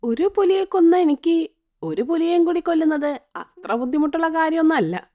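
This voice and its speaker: A woman's voice: very high voice, lively intonation, moderate pace, dark tone, voice pushed up. Brief: brave pregnant young woman, bold and defiant tone, voiced by a female narrator.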